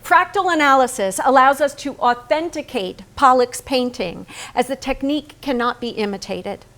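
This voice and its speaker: High-voiced, cerebral, unflinching painter's wife. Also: female voice, expressive, fairly bright tone. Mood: fervent, exact. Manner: precise, measured, emphatic. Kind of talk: theatrical staged reading. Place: staged reading in a barn venue.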